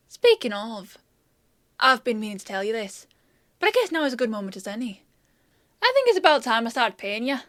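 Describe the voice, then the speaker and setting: A woman playing a flustered, gruff but well-meaning fantasy apothecary in a scripted audio roleplay, with a hesitant, emotive, conversational delivery. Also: on the high side, fairly bright tone, no room sound, clean studio sound. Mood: warmly praising.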